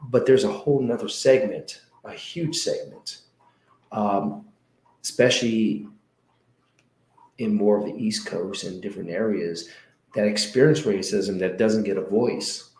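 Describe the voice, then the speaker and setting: Male interview host, low voice, earnest and halting, in an echoey room. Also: a little mellow. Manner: earnest, halting with many fillers. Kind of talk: conversational interview.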